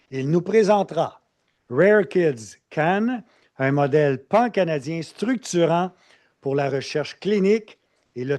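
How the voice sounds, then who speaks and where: Animateur de congrès, voix assez aiguë. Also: male voice, fairly high pitch, darkish tone. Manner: formal, ceremonious introductions. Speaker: event emcee. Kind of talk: formal event hosting. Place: conference hall.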